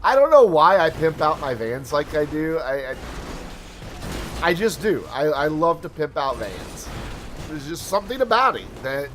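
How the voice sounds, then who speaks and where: A man's voice: a little high, very animated, a little slow, a bit bright, slight background noise. A man talking casually over gameplay footage as a channel host, chatty and full of asides, his voice tired from hours of talking. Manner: chatty, rambling asides, self-deprecating.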